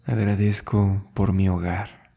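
A man leading a guided meditation as an instructor, soothing and unhurried, speaking in short phrases with long pauses between them. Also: deep voice, flat delivery, gravelly, warm tone, husky. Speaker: yoga and meditation instructor, host.

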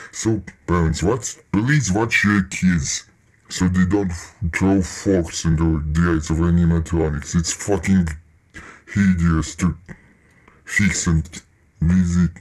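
A man playing a weary, grumbling repair worker who records a halting, sweary audio log. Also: low voice, warm tone, full character voice. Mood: annoyed. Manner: hesitant, halting, casually sweary. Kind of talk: rambling employee audio log.

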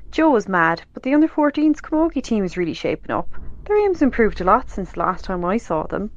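A woman's voice: medium pitch, big pitch swings, fairly quick. An Irish woman playing a casual, down-to-earth rural woman in an amateur radio drama. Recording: slightly dull, lo-fi sound.

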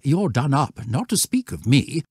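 A man voicing an irritable, hurried adult scoutmaster scolding and giving orders. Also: mid-range voice, very animated, a little mellow, husky, no room sound, studio quality, voice pushed up, full character voice. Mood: exasperated. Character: exasperated, brisk male scoutmaster.

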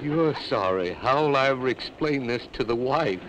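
An actor playing a middle-aged train passenger who grumbles dryly. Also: male voice, mid-range voice, expressive, normal speed, lightly acted. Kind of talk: 1950s television drama acting. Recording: noisy old soundtrack with crowd.

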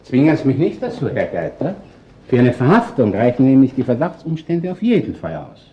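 An actor with an Austrian accent playing a composed, shrewd chief inspector in a radio crime drama, courteous but firm. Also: male voice, mid-range voice, very animated, a little fast, dull audio, close to an everyday voice. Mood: measured, official.

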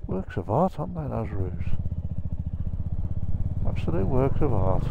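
Bloke in his 50s chatting to himself on a motorbike, mid pitched voice, lots of wind and engine noise. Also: sing-song, unhurried, gravelly, highs cut off. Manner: musing aloud, chatty. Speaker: motorcycle vlogger. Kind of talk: casual rambling chat while riding. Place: outdoors, riding a motorcycle on country roads. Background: wind rush and engine.

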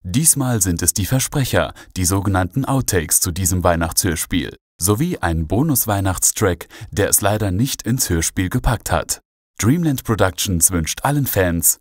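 A man reading a scripted announcement as an audio drama announcer, formal and clearly articulated. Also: low-pitched, a little mellow, no echo, clean studio sound. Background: music.

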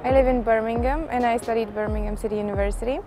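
Woman in her 20s, Bulgarian accent, Bulgarian native speaker, flat steady pitch, calm self-introduction, clear music under the voice. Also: fast. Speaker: model contestant.

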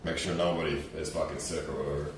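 Man, low pitch, casual livestream chat, blunt, sweary, distant mic, faint noise. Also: little pitch movement, quick pace. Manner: blunt, profane. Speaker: streamer and event organiser. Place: small kitchen, indoors. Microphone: distant camera or phone mic. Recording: echoey room, distant mic, some noise.

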